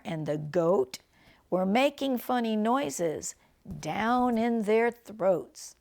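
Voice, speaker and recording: An older woman reading a picture book aloud to children like a grandmother, phrasing things deliberately and chatting about the pictures. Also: mid-range voice, vocal fry, darkish tone. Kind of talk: read-aloud storytelling with chatty asides and animal sounds.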